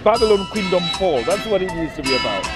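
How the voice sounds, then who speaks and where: A middle-aged man reflecting aloud in a halting way, repeating words as he searches for his thought. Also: sits high, very animated. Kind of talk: reflective spoken recollection. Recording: music mixed loudly under the voice. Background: music.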